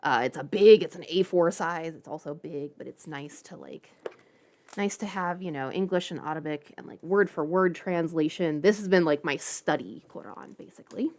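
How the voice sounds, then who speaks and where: A woman's voice: deep voice, normal speed, a little mellow. A woman hosting a livestream, chatting casually and playfully with informal asides. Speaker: livestream host.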